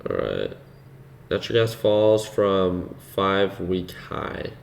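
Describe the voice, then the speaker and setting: Low-voiced man hosting a trading stream. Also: creaky, slight background noise. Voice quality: soothing voice. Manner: relaxed and unhurried, laughs easily, many fillers. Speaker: host of a live trading stream. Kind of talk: casual live-stream commentary, reading chat.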